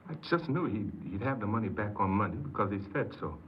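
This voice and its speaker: An actor playing a desperate, earnest ex-convict in a 1950s American radio crime drama, pleading and hesitant, often repeating himself. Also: male voice, fairly low pitch, animated, quick pace, natural voice. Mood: subdued confessing. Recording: muffled, hissy 1950s radio recording.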